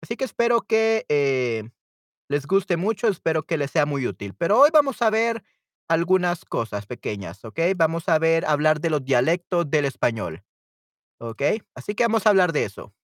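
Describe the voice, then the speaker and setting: A man's voice: on the high side, very animated, moderate pace, no room sound, studio quality. A young Salvadoran man with a Salvadoran Spanish accent, teaching Spanish in a friendly, chatty live stream. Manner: chatty and friendly, mixes in English asides to himself. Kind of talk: casual live-stream lesson.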